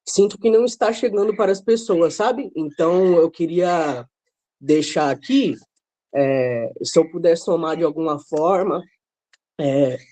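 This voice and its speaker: A man's voice: fairly high pitch, dark tone. A man with a cold and a breaking voice, asking questions casually and earnestly on a video call. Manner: casual, earnest, ends phrases with "sabe?".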